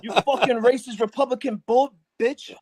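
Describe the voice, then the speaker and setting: A man's voice: extremely high pitch, fairly even intonation, normal speed, darkish tone. A man from New York, co-hosting a casual sports chat show, heated and full of banter and swearing. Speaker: co-host.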